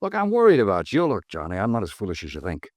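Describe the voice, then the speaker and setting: An actor playing a stubborn, world-weary reporter in a 1950s American radio drama, wry and determined. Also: male voice, on the low side, huge pitch swings, fast, dry recording. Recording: vintage 1950s radio recording.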